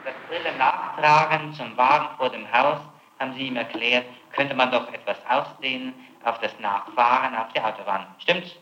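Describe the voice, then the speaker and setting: A man's voice: medium pitch, moderate pace, few pauses, echoey room, muffled recording, background noise. A man conducting a formal interrogation as prosecutor, confronting and insistent, on an old archival tape. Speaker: prosecutor questioning a suspect. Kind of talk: formal interrogation, reading out statements.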